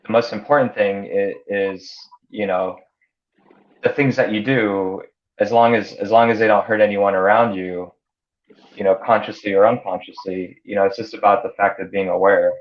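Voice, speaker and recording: A man's voice: on the low side, fairly even intonation, moderate pace. A man in his thirties, a Hungarian native speaker raised in the United States, talks about his life in a casual interview, stumbling over repeated words and fillers. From born in Canada, grew up in the United States. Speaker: interview guest, entrepreneur. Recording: dull lo-fi audio.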